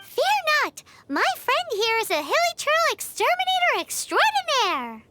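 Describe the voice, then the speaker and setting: A woman voicing a tiny, excitable, childlike fairy companion in a video game, with a cutesy, exclamatory way of talking. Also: extremely high pitch, unhurried, heavy background noise, exaggerated voice. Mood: excited, proud. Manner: exclamatory, cutesy, speaks of self in third person.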